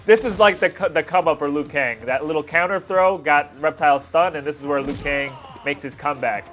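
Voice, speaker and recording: A man's voice: fairly high pitch, a little fast, fairly bright tone. A man hosting a reaction video, commenting on fight scenes in casual slang with hyped exclamations. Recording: music behind the voice.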